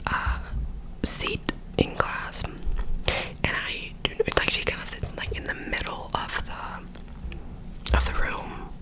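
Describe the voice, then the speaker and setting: A woman's voice: slow pace, pauses often, low-quality recording. A young woman whispering a rambling story into a close microphone while doing her makeup, often correcting herself as she goes. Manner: rambling, self-correcting, filler-heavy storytelling. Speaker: ASMR creator.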